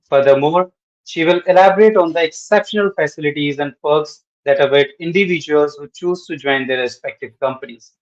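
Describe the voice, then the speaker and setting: A man welcomes the audience and his guest in a polite, formal manner, as if he were partly reading a script. His voice sits a little high for a man. It reaches the listener over a video call with a muffled, lo-fi sound. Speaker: webinar host. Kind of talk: formal introduction.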